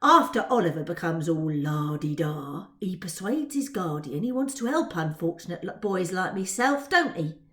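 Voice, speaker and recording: Woman acting a cocky Cockney boy pickpocket, swooping pitch. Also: very animated, normal speed, hardly any pauses, echoey room. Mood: chatty, scornful. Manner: bragging, ungrammatical street slang. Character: cocky, street-smart young boy pickpocket, chatty Victorian rascal.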